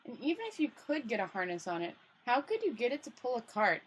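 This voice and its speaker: A woman's voice: on the high side, wildly swooping pitch, fast, slightly rough, full character voice, rough recording. A woman voicing a small, cheeky, matter-of-fact imp in a storybook, blunt and literal-minded. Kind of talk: hobby storybook read-aloud.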